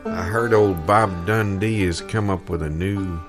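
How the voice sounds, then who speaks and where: A man playing a slow, folksy small-town American in improvised comedy, with a deadpan, unhurried manner. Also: sits low. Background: banjo or guitar music.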